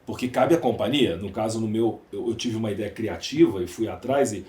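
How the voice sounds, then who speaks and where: A man's voice: lowish voice, a little fast, dark tone. A Brazilian man speaking as an interview guest, conversational and emphatic, repeating phrases for rhetorical effect. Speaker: podcast guest, entrepreneur. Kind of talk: conversational interview, storytelling and opining. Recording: roomy, echoey sound.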